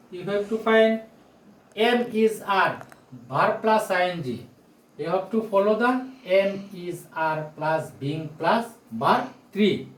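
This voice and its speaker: A male teacher in his forties gives a grammar lesson at a slow, emphatic pace. He speaks deliberately, as if to a class, repeating himself and posing questions. The sound is echoey, picked up by a camera in a small bare room. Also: sits high. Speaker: English teacher. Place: small indoor room beside a whiteboard. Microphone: phone or camera a short distance away.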